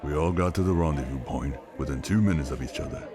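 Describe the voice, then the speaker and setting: A man playing a weary, cautious operative and new father in naturalistic drama, measured and understated. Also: a bass voice. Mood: resolute. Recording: background music and crowd under dialogue.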